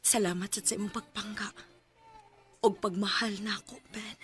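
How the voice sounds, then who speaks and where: A woman with a Filipino Cebuano accent playing a gentle, loving woman in a sentimental radio drama. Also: medium pitch, steady tone, normal speed, lightly acted. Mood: tender, sorrowful. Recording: radio drama with music underneath.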